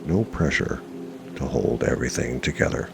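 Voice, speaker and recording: Very deep, gravelly male meditation guide. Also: lively intonation. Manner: measured, reassuring, with long pauses. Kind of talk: guided meditation narration, self-hypnosis. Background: music.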